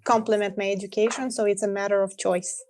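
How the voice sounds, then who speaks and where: A woman giving a brief, measured remark as a webinar panelist. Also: mid-range voice, flat delivery, darkish tone, a little hiss or hum. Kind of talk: casual remark.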